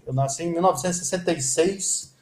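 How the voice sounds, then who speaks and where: A man in his fifties from Brazil, a guest telling stories in a relaxed, chatty way. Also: on the high side, fast talker, echoey room. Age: 50s. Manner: storytelling, lively and chatty. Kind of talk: casual interview chat.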